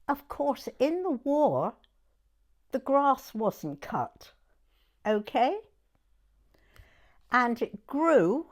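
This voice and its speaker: A woman in her nineties with a southern English accent, reminiscing informally in an interview in a chatty, halting way with plenty of "you know". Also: fairly high pitch. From Dorset, England. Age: 90s. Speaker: oral history interviewee.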